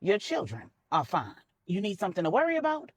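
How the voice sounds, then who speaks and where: A woman's voice: medium pitch, wildly swooping pitch, fairly quick, slightly rough, no room sound, studio quality. A woman voicing a cool, cryptic older mother-in-law who speaks in a pointed, imperious way.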